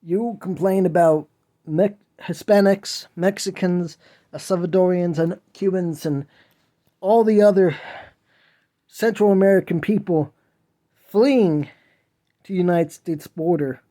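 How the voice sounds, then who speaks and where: A man's voice: high voice, measured pace, long pauses, mellow. A man hosting a podcast, delivering an impassioned solo monologue in a whiny voice, earnest and preachy as he pleads with listeners. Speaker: podcast host.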